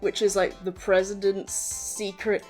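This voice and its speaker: A woman's voice: medium pitch, level tone, measured pace, darkish tone. A woman chatting casually and enthusiastically, swearing freely as she hosts a quiz and explains game lore. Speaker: host quizzing a partner on game characters. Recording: background music under the voice.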